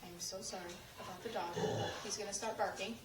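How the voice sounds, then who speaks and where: A young woman with a hoarse, fading voice, chatting through a cleaning demonstration with lots of fillers and restarts. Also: medium pitch, steady tone, brisk, background noise. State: losing her voice. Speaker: cleaning tips creator. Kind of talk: casual how-to demonstration. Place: home kitchen. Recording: echoey room, voice from a distance.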